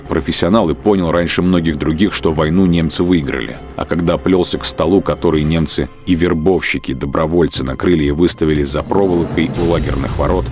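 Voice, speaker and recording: A man narrating a radio play in a measured, grave storytelling manner. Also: a bass voice, darkish tone. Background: music.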